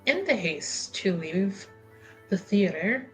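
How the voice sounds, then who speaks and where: A woman's voice: mid-range voice, sing-song, slow pace, fairly bright tone, faint music behind, low-quality recording. A woman voicing a polite, formal female adventurer in a tabletop game. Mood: polite, measured.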